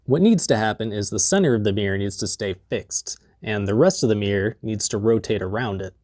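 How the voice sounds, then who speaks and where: Deadpan young man, dry close sound. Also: lowish voice, a little fast, no room sound. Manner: deadpan jokey delivery with mumbled asides. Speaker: DIY maker vlogger. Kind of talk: comedic scripted tutorial narration. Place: basement workshop, indoors. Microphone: lapel mic.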